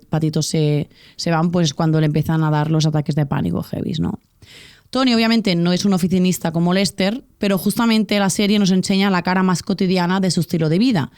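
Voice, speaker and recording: A woman's voice: fairly low pitch, a little mellow, clean tone, no room sound, clean studio sound. A woman hosting a podcast, delivering a scripted cultural commentary in an essay-like way with the odd filler. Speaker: podcast host.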